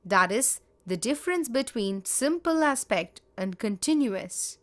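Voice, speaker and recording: A woman teaching grammar, reading example sentences in a measured, deliberate way. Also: on the high side, expressive. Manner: measured, spells out each example. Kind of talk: slow, deliberate grammar lesson.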